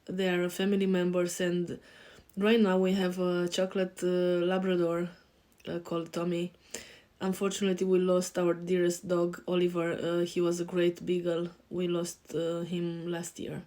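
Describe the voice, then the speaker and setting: A woman in her thirties from Romania with a Romanian accent, introducing herself to camera as a podcast host and life coach, pausing often with fillers and restarts. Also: fairly low pitch, monotone, mellow. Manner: hesitant, many fillers and restarts. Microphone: facing camera.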